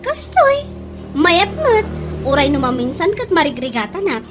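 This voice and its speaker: A woman's voice: extremely high pitch, very animated, normal speed, over music. A woman with a Filipino accent playing an eager, grateful young student and little sister in a radio comedy drama. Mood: cheerful. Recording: faint background noise.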